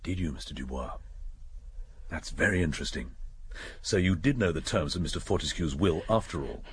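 An actor playing a shrewd, methodical English police inspector in a radio drama, measured and probing. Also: male voice, very deep voice, a little hiss or hum. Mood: probing, dry. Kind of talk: British radio drama acting.

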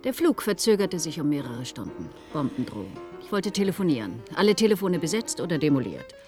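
An actress in a German radio play, playing a haughty, sharp-tongued female client with a cutting, businesslike manner. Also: deep voice, very animated, brisk, soft background music. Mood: brisk, businesslike.

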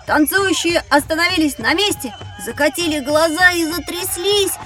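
High-pitched curious young boy. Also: moderate pace, pushed and bright, soft background music. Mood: excited narrating. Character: curious young boy on a time-travel adventure. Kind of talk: scripted children's educational audio drama.

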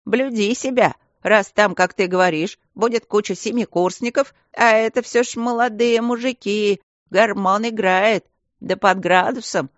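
A woman's voice: medium pitch, fairly even intonation, a little slow, dry recording, lo-fi sound, voice pushed up, natural voice. A woman voicing a fussy, doting old male stone guardian in an audiobook, lecturing primly like a schoolmistress. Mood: prim nagging. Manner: prim, coddling, schoolmistress-like lecturing.

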